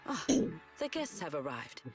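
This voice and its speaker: A woman voicing a formal, courteous female deputy director in an anime-style game, with measured delivery. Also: low-pitched, very animated, music in the background. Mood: courteous, composed.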